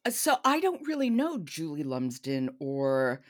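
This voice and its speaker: Very deep-voiced, lively woman host. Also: a little slow. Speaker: podcast host. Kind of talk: conversational book discussion.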